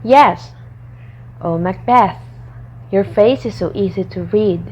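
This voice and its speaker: A woman playing an ambitious, ruthless noblewoman in a radio drama, deliberate and commanding in delivery. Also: medium pitch, husky, lo-fi sound. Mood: cold, commanding. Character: Lady Macbeth, ambitious, ruthless scheming noblewoman wife.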